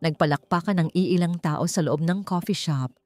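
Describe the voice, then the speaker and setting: Female story narrator, slightly low register, dramatic scripted romance read, soft music bed. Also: moderate pace. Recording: music under the voice. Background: background music.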